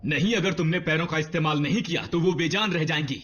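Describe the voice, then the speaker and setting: A man voicing a cautious, grumbling robot medic in an animated series dub, with a sarcastic, wary manner. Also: a little high, quick pace, muffled recording, slight background noise, close to an everyday voice.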